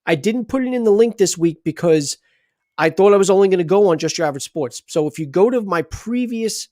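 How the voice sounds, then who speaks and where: A chatty man hosts a sports livestream and rambles through his sign-off. His voice sits a little high for a man. The sound is dry and close. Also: expressive, a little fast, mellow, dry recording. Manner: rambling, chatty, many restarts. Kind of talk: casual sports livestream talk.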